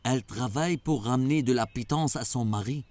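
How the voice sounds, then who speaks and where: An actor playing a wise, patient mentor who explains moral lessons in a children's religious cartoon. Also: male voice, mid-range voice, a little mellow, no room sound, natural voice. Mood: patient, instructive. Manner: didactic, measured, affectionate address.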